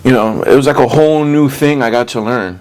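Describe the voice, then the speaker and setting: A man's voice: medium pitch, brisk, darkish tone, rough recording. A man speaking as an interview guest, telling personal stories in informal, streetwise language full of "you know.". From born in the US, Puerto Rican, moved to Puerto Rico as a child. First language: English. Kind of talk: casual personal storytelling.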